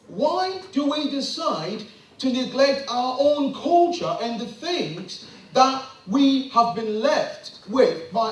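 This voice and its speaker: A middle-aged man addresses a meeting with passion, his high voice swinging widely through rhetorical questions. He is heard from a distance in a very echoey room. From Ghana. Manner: rhetorical questions, rising to emphatic peaks. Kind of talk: impassioned public address.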